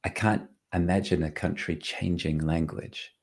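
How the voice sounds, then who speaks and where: A New Zealand man hosts in a very low voice full of creak and husk. His interviewing is conversational and reflective, and he feels his way into each question. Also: fairly even intonation, a little slow, darkish tone. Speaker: podcast host.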